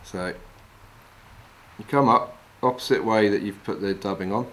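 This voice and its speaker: A middle-aged man from England calmly talking through each step of tying a fishing fly while he works with his hands. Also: lowish voice, moderate pace. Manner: calm, practical, talks while working with his hands. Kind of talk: step-by-step demonstration. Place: wooden lodge room, indoors.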